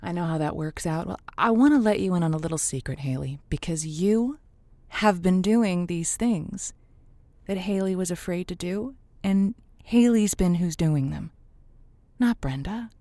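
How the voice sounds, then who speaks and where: A woman's voice: on the low side, animated, unhurried, warm tone, dry recording. Audio drama lead: an actress as a female call-in show host, theatrical and encouraging, stumbling pep talks, very dry recording. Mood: probing. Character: Josie, gushing adult female late-night radio advice host. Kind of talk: semi-improvised audio drama, radio advice show.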